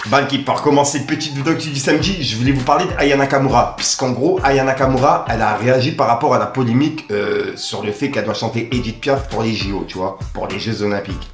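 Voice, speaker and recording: A man's voice: mid-range voice, fast talker, few pauses, echoey room. A young man hosting a casual rap news roundup, chatty and full of fillers. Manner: chatty, many fillers like "en gros" and "tu vois". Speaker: host of a rap news channel.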